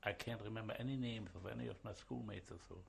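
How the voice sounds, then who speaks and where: A man in his seventies, born in Switzerland and raised in Germany, answering questions haltingly in an oral history interview. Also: fairly low pitch, vocal fry, a little hiss or hum. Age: 70s. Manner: halting, with pauses and fillers.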